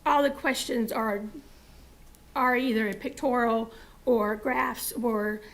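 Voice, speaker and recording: Woman, hoarse, fairly high voice, explaining, board meeting. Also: steady tone, slow pace. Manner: informal, with fillers and explanatory asides. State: losing her voice. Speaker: school district staff presenting to the board.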